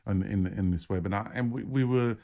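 A man's voice: fairly low pitch, fairly quick, vocal fry, dark tone. A man hosting a spiritual podcast, talking in an enthusiastic, affirming way and often repeating words as he goes. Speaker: podcast host.

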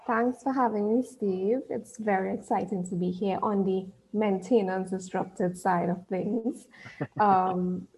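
A cheerful woman with a Trinidadian accent, chatting as a podcast guest. She laughs easily and talks in a warm, easy conversational way. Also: mid-range voice, steady tone, slow pace, low-quality recording. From Trinidad and Tobago. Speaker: podcast guest, business owner. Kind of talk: casual interview chat.

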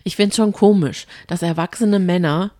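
Female co-host, opinionated, chatty, quick reactions, slightly low voice. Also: dark tone, no room sound, clean studio sound. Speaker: podcast co-host. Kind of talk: casual chat about a radio play series.

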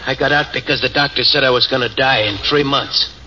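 A man's voice: medium pitch, fairly even intonation, brisk, sharp tone. An actor playing a cold, vengeful ex-convict who is dying and taunts his trapped partner. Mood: menacing. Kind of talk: 1940s radio acting. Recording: dull old radio recording, faint hiss.

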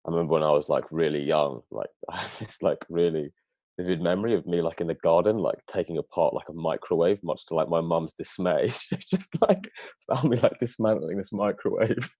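A man's voice: low-pitched, fairly quick, mellow, dry recording. A man being interviewed as a guest, talking casually and filling his sentences with "like" and "kind of". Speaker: guest, data engineer.

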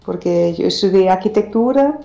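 A woman's voice: medium pitch, animated, normal speed, gravelly, mellow, reverberant, highs cut off. A woman from Germany with a German accent, a guest singer-songwriter chatting in an interview, talking hesitantly as she searches for words. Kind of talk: casual interview.

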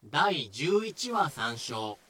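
A man playing a dry, pedantic explainer who delivers clipped, deadpan asides and history notes in a scripted voice drama. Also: medium pitch, very slow, a little hiss or hum, exaggerated voice. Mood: explanatory. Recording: music behind the voice.

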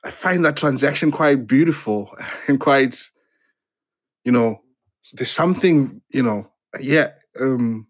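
A man from Zimbabwe speaks slowly and thoughtfully as an interview guest. He often stops and restarts, circling back over his words while looking for the right phrase. His voice sits at an ordinary middle pitch. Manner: hesitant, halting, many restarts and fillers. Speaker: playwright guest. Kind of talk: reflective interview conversation.

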